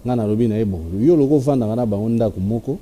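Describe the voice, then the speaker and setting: A man's voice: mid-range voice, quick pace, mellow, slight background noise. A man with a Congolese French accent hosting a television interview, addressing viewers formally and switching between French and Lingala. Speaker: TV show host.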